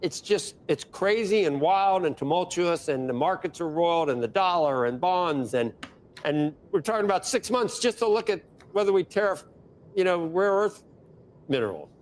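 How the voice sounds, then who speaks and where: A man anchoring a TV business news interview, questioning a guest in an exasperated, animated way. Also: high-pitched, moderate pace, a little hiss or hum. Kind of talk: broadcast interview.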